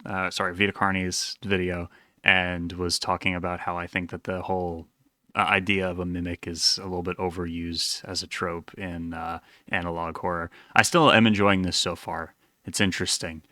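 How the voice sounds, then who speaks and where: A man's voice: low voice, little pitch movement, normal speed, pushed and bright, no echo, clean studio sound. A man hosting a reaction video, casually thinking aloud in a rambling, self-deprecating way.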